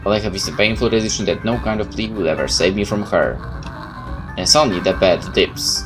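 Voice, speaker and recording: A man reading horror game text aloud as a video host, theatrical and expressive, with informal reactions. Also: lowish voice, fairly quick, over music. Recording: noticeable background noise.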